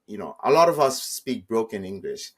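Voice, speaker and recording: A man from Saipan speaks in a low voice as a guest in a casual interview. He is modest and a little nervous, feeling his way hesitantly through his sentences. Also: very animated, normal speed. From Saipan, Northern Mariana Islands. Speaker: podcast guest, travel vlogger.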